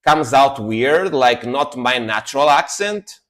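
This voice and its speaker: A man's voice: mid-range voice, expressive, takes it slowly, a bit bright. A man in his thirties with an Italian accent, a native Italian speaker, chatting casually as a livestream host in a rambling way, often repeating his phrases. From Italy.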